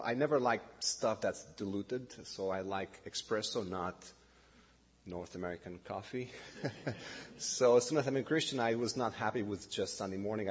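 Low, husky Romanian-accented pastor. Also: male voice, big-room sound, muffled recording. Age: middle-aged. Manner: halting, many fillers and self-corrections. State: nervous. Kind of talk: informal sermon introduction. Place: church hall.

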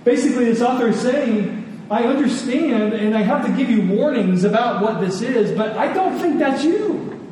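A man preaching a sermon in an earnest, emphatic way, repeating phrases deliberately for weight. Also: very high voice, animated, dark tone. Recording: echoey hall, distant, faint noise.

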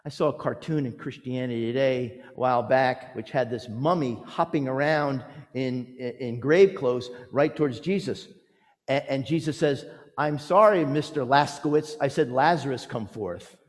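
A man preaching a storytelling sermon with a theatrical, emphatic delivery, using dramatic pauses and moving between loud proclamation and hushed lines. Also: medium pitch. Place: large reverberant church or hall. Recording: echoey hall with long reverb.

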